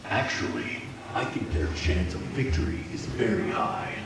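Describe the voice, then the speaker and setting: An actor playing a measured, serious lieutenant in a video game. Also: male voice, low-pitched, sing-song, background noise. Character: measured, loyal male lieutenant to a warlord. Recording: echoey, distant, under loud music.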